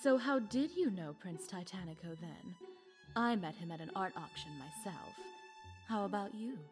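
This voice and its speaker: A woman's voice: medium pitch, lively intonation, normal speed, close to an everyday voice. A woman playing a sly, worldly society widow in a visual novel, with arch and knowing phrasing. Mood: probing, polite. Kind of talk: voiced visual novel dialogue. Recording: music behind the voice. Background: game music.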